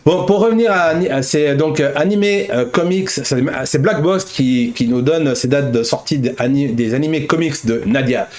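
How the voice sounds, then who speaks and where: Male host, slightly high voice, jovial and teasing, chatty delivery of fan news. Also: moderate pace, hardly any pauses, darkish tone. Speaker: host of an anime news show. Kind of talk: casual chat, news presenting.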